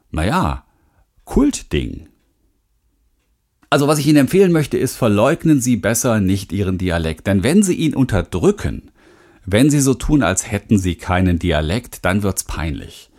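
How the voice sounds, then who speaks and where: Middle-aged male speaking coach narrating his own audiobook: candid, emphatic, direct to listener, very animated intonation. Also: on the low side. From Rhineland, western Germany. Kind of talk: self-help audiobook narration.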